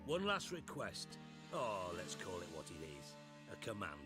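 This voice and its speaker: A man's voice: fairly low pitch, very animated, normal speed, over loud music. An actor playing a scheming, sardonic male spymaster in a game cutscene, delivering dry, menacing lines.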